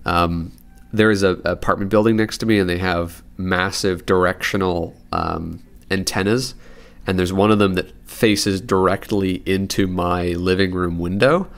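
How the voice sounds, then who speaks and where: A man's voice: deep voice, steady tone, normal speed. A man giving a casual, unscripted repair demonstration, rambling informally as he thinks aloud. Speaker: radio repair hobbyist and presenter. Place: indoors at a desk in an apartment living room.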